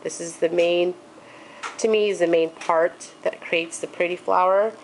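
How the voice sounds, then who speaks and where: A woman's voice: lowish voice, steady tone, a little slow, a little hiss or hum, rough recording. A woman with a congested, stuffy voice hosting a casual crafting tutorial, chatty, with frequent ums and okays. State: congested from allergies.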